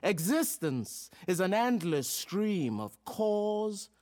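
A man's voice: high-pitched, sing-song, takes it slowly, darkish tone, exaggerated voice. An actor playing a playful, provocative male philosophy professor in an audio drama, lecturing theatrically with rhetorical flourishes. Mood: probing, rhetorical. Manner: theatrical, rhetorical, addresses a class like a host.

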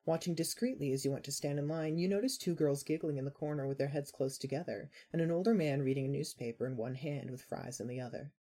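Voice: medium pitch, fast, few pauses, mellow. A podcast narrator reading a scripted second-person story aloud. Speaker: narrator of a fan fiction podcast.

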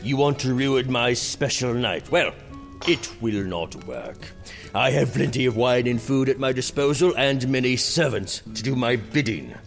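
A man's voice: medium pitch, expressive, a little slow, vocal fry, soft background music. An actor playing a pompous, drunken, self-indulgent prince acting as king in a theatrical, haughty medieval audio drama.

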